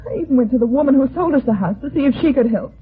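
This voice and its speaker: High-pitched, agitated widow in radio drama. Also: female voice, lively intonation, slightly rough, exaggerated voice. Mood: distraught, pleading. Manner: halting, breathless protests and repeated denials. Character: agitated grieving widow, pleading and defensive. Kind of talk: old-time radio detective drama acting. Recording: tinny band-limited vintage radio broadcast.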